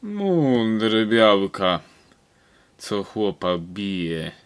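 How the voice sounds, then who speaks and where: A man's voice: fairly low pitch, very animated, extremely slow, clear, resonant tone, full character voice. A man playing a jovial, preachy village priest with a Kashubian accent in an amateur radio play, speaking in a sing-song sermon manner. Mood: preachy, folksy advice. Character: older male village priest, jovial, preachy, counselling a young couple. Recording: very reverberant hall-like sound.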